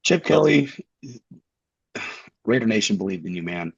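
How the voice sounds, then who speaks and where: A man co-hosting a casual sports podcast, speaking with wry, deadpan sarcasm. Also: fairly low pitch, normal speed, gravelly, slightly rough, no room sound. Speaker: podcast co-host. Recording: dry, dull lo-fi mic.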